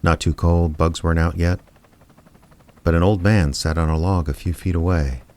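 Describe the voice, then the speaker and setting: A man playing a wry, reluctant small-town amateur detective, narrating and talking in a deadpan way in an audio drama. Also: deep voice, moderate pace. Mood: wry, reflective.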